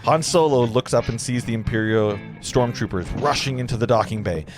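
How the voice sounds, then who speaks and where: A man's voice: mid-range voice, a little fast, over music. A man reading stage directions as narrator at an informal table read, sometimes stumbling and correcting himself.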